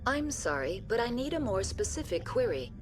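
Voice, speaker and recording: A woman's voice: fairly high pitch, very animated, moderate pace, faint music behind. A woman voicing a polite, unemotional female computer intelligence in a video game, speaking in formal, procedural system announcements. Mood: calm, impassive. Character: polite, unemotional female AI running a research station. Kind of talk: scripted video game dialogue.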